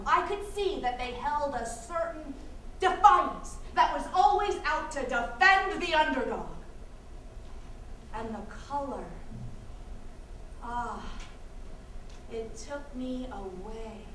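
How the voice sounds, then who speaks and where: Wry wistful american woman in a one-person stage show, really slow and dramatic, echoey far-off noisy recording. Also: high voice. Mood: dreamy. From United States. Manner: dramatic, deliberate, with pauses. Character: Korean American adoptee woman recounting childhood, wry and wistful. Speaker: stage actress. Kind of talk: live theatrical monologue. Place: live stage performance in a venue.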